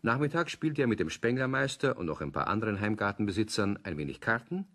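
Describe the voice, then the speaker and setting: A man with a husky voice narrating a children's audio play as a scripted storyteller. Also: lowish voice, lively intonation, fast talker, fairly bright tone.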